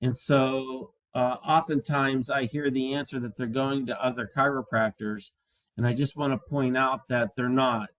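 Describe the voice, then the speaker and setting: A man in his sixties giving an instructional talk to fellow practitioners in an earnest, deliberate, emphatic way. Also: mid-range voice, fairly even intonation, a bit bright. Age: 60s. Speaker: chiropractor and practice coach. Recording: dull, dry lo-fi audio.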